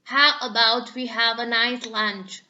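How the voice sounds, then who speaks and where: A woman's voice: on the high side, level tone, slow pace, bright, forceful tone, lo-fi sound, heavily acted. A woman voicing an easygoing, self-assured father pig in a children's picture book, hearty and jovial. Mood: cheerful, confident.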